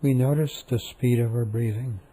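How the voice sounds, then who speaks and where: A man leading a guided meditation, speaking calmly and softly with long, repetitive pauses. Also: medium pitch, husky, low-quality recording.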